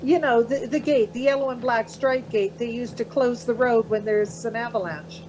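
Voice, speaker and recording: A woman's voice: on the high side, steady tone, normal speed, background noise, natural voice. A woman acting in a radio play as an anxious, questioning traveller, fretful and hesitant. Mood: worried.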